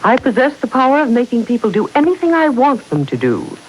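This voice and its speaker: An actress playing a mysterious, commanding woman with formal, deliberate speech in a 1940s radio serial. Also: on the high side, very animated, rough recording. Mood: cool, commanding. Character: mysterious, commanding woman who claims controlling powers. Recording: hissy 1940s radio broadcast.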